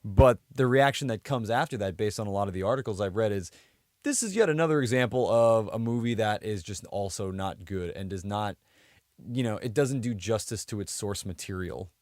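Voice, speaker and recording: Lowish-voiced man chatting casually on a podcast. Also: fairly quick, darkish tone, dry recording, studio quality. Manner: hedging, thinking aloud. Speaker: podcast co-host. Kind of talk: casual chat.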